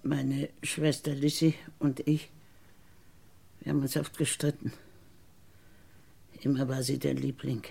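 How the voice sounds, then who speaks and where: Bitter wronged lady in a crime radio play, slow halting delivery, raspy, hushed insinuations. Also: heavily acted. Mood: bitter, brooding. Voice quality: husky, gravelly low voice. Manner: deliberate, halting, insinuating, dropping into whispers. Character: bitter, wronged woman once convicted of murdering her sister. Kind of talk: German radio crime drama acting.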